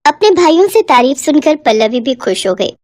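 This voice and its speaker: A woman's voice: high-pitched, sing-song, normal speed, darkish tone, dry recording, studio quality. A woman reading a scripted family story as a storyteller.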